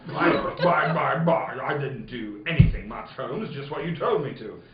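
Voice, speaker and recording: A man's voice: mid-range voice, animated, measured pace, a loud crowd, voice pushed down, close to an everyday voice. A man playing an affable, modest detective's sidekick in a hammy, conversational mock radio play. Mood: admiring, puzzled. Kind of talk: mock old-time radio play acting. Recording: echoey live room, dull sound, audience noise.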